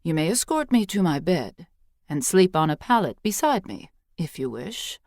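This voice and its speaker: Casting: woman as elderly fantasy priestess, patient teacher, lowish voice, measured and teasing, dry recording. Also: big pitch swings, dry recording. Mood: gently teasing. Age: older. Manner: measured, instructive, gently teasing.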